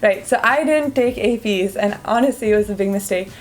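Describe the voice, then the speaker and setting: A young woman from India, a student guest in an interview, speaking frankly with quick asides. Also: mid-range voice. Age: young adult. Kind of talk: casual interview answers.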